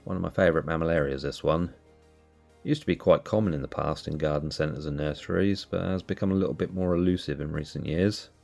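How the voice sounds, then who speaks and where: A man hosting a cactus channel, sounding nasal from allergies, calmly explaining as he narrates. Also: rumbling low, fairly quick, gravelly, faint music behind. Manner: relaxed, explanatory. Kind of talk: casual voice-over narration.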